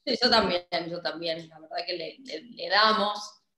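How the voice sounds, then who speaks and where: A woman's voice: on the low side, moderate pace, bright, forceful tone. Young Argentine woman, echoey room. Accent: Argentine Spanish. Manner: hesitant, many fillers and restarts. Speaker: club director, guest. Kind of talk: casual interview on a video call. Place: home office, indoors. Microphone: laptop webcam mic on a video call.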